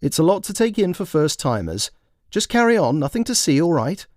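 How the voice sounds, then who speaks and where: A man voicing a brisk, commanding woman who runs a social event in an audiobook story, calling out in a bossy, reassuring way. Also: on the high side, sing-song, darkish tone, dry recording, studio quality, voice pushed up. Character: Sue, brisk commanding woman running a speed-dating event.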